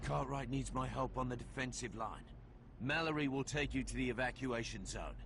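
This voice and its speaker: An actor playing a gruff, sarcastic male soldier with a British accent in a video game cutscene. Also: mid-range voice, normal speed, noisy background, natural voice. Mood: dry, blunt. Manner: blunt, sardonic. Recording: game audio with music behind.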